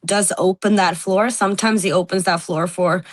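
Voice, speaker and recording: A woman's voice: lowish voice, steady tone, moderate pace, no room sound, studio quality. A woman journalist, giving a candid interview over a video call and laughing between points. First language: Norwegian.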